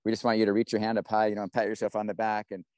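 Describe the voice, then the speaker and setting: Male host on a video call, warm rambling encouragement, clear dry sound. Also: fairly low pitch, completely flat delivery, rapid-fire, dry recording. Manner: rambling, encouraging, many restarts. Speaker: webinar host. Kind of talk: casual hosting on a video call.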